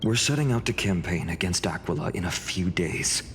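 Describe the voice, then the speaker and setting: A man's voice: sits low, animated, moderate pace, a little hiss or hum. A man playing an earnest, courteous young heroic leader in a game, composed and polite. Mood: serious. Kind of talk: scripted game drama acting. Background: music.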